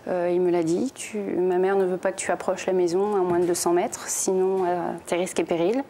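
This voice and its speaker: A woman's voice: lowish voice, little pitch movement, fairly quick, dark tone, a little hiss or hum. A young woman, a guest on a television talk show, telling her own story plainly, with frequent hesitations. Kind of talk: recounting personal story on TV. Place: television studio.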